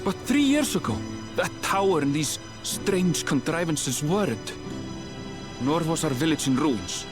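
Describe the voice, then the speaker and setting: A man's voice: on the high side, lively intonation, unhurried. An actor playing an earnest, troubled young man in a video game, emotive and questioning. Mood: distressed. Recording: music behind the voice.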